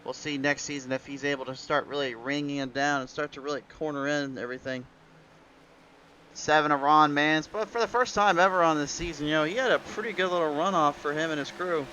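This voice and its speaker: A man's voice: on the high side, a little fast, bright, forceful tone, slight background noise, rough recording. A man giving rambling live play-by-play commentary on a racing league broadcast, a little under the weather, his voice cutting out at times. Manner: rambling play-by-play with restarts and fillers. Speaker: race commentator.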